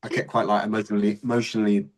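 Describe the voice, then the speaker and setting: This is a young man, talking casually as a podcast guest. His voice sits slightly low, and he tells his stories candidly and bluntly, swearing as he goes. He sounds relaxed and conversational throughout. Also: rapid-fire. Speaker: podcast guest, serving soldier.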